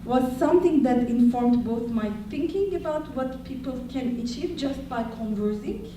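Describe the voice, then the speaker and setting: A woman's voice: fairly high pitch, lively intonation, warm tone, a little hiss or hum. Woman lecturing from far in an echoey hall. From Turkey. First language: Turkish. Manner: measured, explanatory, frequent uh fillers. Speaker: panelist, historian. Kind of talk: academic panel talk. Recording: echoey hall, distant mic.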